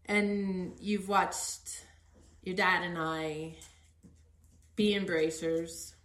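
A woman with a fairly low voice talks as a podcast host in a very roomy, echoey space. She is earnest and encouraging, speaking conversationally as she interviews. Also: fairly even intonation, very slow, fairly bright tone.

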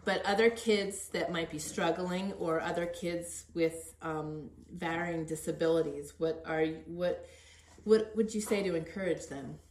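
A woman with a fairly low voice talks as a podcast host in a very roomy, echoey space. She is earnest and encouraging, speaking conversationally as she interviews. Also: measured pace, hardly any pauses, darkish tone, a little hiss or hum.

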